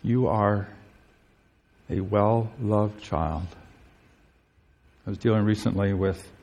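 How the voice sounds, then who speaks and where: Earnest preacher in a reverberant hall. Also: male voice, takes it slowly, creaky, darkish tone, breathy edge, lo-fi sound. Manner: earnest, emphatic, voice climbs high on key phrases. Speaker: pastor. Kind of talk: sermon.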